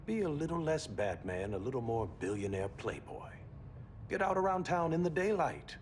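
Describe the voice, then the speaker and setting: Frank game adviser guy, lively mid voice, persuasive, some rumble behind. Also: normal speed. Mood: gently teasing. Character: candid male business adviser, earnest, gently teasing. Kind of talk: video game cutscene acting. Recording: background rumble and noise.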